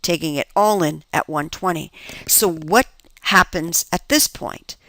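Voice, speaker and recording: A woman's voice: low-pitched, unhurried, a bit bright. A woman instructor lecturing step by step through worked accounting examples, explanatory and emphatic, often checking understanding with rhetorical questions.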